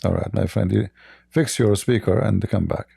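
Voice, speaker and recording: Gravelly, combative male debate host. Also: fairly low pitch, moderate pace, dark tone, no echo. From Middle East. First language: Arabic. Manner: combative, mocking. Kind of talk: live call-in debate.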